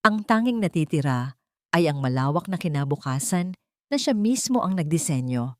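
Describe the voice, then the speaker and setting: A woman with a Filipino accent narrating a scripted dramatic audiobook story in a solemn, measured, reflective way. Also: low-pitched, big pitch swings, darkish tone, dry recording, studio quality.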